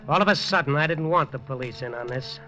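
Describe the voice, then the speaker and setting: A man's voice: mid-range voice, fast, close to an everyday voice. An actor playing a hard-boiled, wisecracking newspaper reporter who narrates his own story in a 1950s radio drama. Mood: narrating. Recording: dull old radio broadcast with music.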